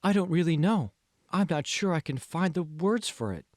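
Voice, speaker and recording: A man's voice: a little high, animated, moderate pace, darkish tone, no room sound, clean studio sound, pitched above the performer's own voice, lightly acted. A man voicing a naive, uneasy young woman in an audiobook, hesitant and full of questions. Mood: uneasy, curious. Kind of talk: audiobook dialogue.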